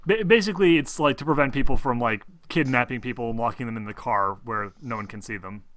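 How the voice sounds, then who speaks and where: A man running a tabletop game, narrating casually in a halting, rambling way. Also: mid-range voice, fairly bright tone, dry recording. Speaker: game master.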